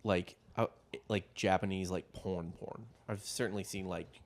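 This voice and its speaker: A low-voiced man co-hosting a relaxed history podcast, chatting loosely and swearing freely. He laughs easily and talks the way friends banter, with an easygoing, unscripted flow. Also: a little slow. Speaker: podcast co-host telling the story. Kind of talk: casual comedic history podcast chat.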